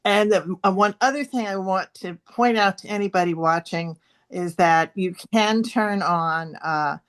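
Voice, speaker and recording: A woman interviewing a candidate for a political club on a video call, polite and halting with many fillers. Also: medium pitch. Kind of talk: moderating an endorsement interview.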